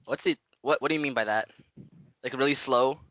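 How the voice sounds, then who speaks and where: A man's voice: medium pitch, brisk, fairly bright tone, no echo. A man making a casual prank phone call, rambling in slang and persistently asking naive questions. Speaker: prank caller.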